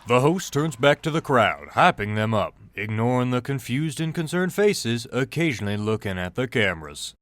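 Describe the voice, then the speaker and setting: A man's voice: mid-range voice, big pitch swings, normal speed, few pauses, fairly bright tone, dry recording, some chatter behind. A man reading scripted narration for a comedy audio drama.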